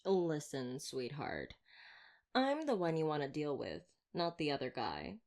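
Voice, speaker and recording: Woman as flirtatious female vampire hunter, lowish and very animated, wordy and playfully theatrical, for roleplay audio. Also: measured pace, full character voice. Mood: coaxing, flirtatious. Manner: wordy, playful, teasing, mock-grand delivery. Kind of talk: ASMR roleplay audio drama addressed to listener.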